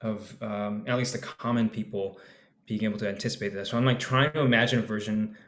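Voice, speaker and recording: A man with a fairly low voice, musing his way through ideas on a casual panel. He strings thoughts together with lots of fillers and lets his sentences fade out. His voice bounces around an echoey room, as if he is on a video call from home. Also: fairly even intonation, fairly quick. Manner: rambling, thinking aloud with many fillers, trailing off softly. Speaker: panel guest, actor.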